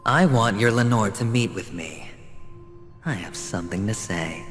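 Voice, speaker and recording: An actor playing a self-assured, theatrical gambler and schemer in a video game, taunting and deliberate in his delivery. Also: on the low side, animated. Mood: bold, daring. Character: cocky, theatrical male gambler and schemer on trial. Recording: reverberant, with loud music behind.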